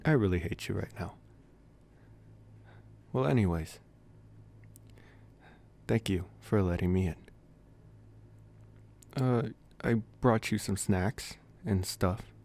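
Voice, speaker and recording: A man plays a young vampire boyfriend at college, with a low voice. He talks softly and hesitantly in an intimate ASMR roleplay, sweet and a little awkward, with a wry, teasing streak. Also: slow pace, creaky, pauses often, heavily acted. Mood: mildly exasperated. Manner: hesitant, gentle, wryly playful.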